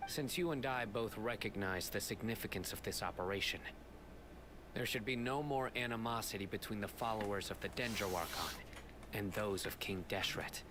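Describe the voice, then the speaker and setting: An actor playing a stern, principled law enforcer in a video game, measured, formal and serious, with background noise. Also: male voice, lowish voice, lightly acted. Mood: earnest, firm. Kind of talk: video game character acting.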